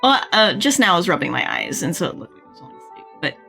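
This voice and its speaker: A woman's voice: on the low side, very animated, racing, gravelly, bright, forceful tone, faint music behind. A woman live streamer chatting casually over a video game in rambling, informal asides.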